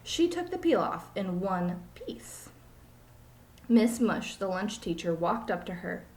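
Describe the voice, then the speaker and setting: Woman, thirties, teacher reading aloud to children, animated intonation, echoey home room. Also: medium pitch, slow. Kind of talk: children's storybook read-aloud. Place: small home room, indoors. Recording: echoey room, webcam mic.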